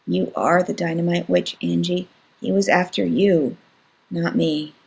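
A woman voicing an earnest, timid young boy who speaks quietly and slowly to his older sister. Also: mid-range voice, animated, gravelly, slightly rough, heavily acted, rough recording.

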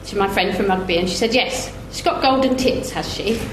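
A woman's voice: medium pitch, brisk. Candid woman in an echoey, noisy room. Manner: candid, wry. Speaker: panellist, team sports athlete. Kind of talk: personal storytelling at a panel talk. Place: live festival panel in a roomy venue. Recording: echoey room with clear background noise.

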